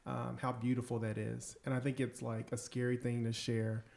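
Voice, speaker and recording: A man's voice: mid-range voice, monotone, moderate pace, vocal fry, a little mellow. A man hosting a podcast, chatting casually and affirming his guests with lots of "like" and "um". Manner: affirming, many fillers like "like" and "um". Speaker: podcast host. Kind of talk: casual chat.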